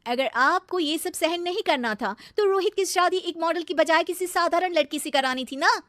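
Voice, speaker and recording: Woman playing spoiled, shrill-pitched young model wife. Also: normal speed, a bit bright, no echo, studio quality. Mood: angry, scornful. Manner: snappy, whining, scornful, drops in English phrases. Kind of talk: cartoon moral-story dialogue.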